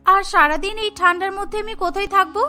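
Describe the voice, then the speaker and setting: Cartoon role: poor expectant young mother, sharp high voice, plaintive and self-blaming. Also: fairly even intonation, fast talker, soft background music. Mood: sorrowful, resigned. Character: destitute pregnant young mother, sorrowful and pleading. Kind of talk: Bengali cartoon voice acting.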